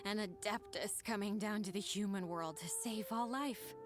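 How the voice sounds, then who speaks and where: A woman's voice: medium pitch, lively intonation, normal speed, music in the background, close to an everyday voice. A woman voicing a weary, adoring female office clerk in a video game, gushing and dramatic.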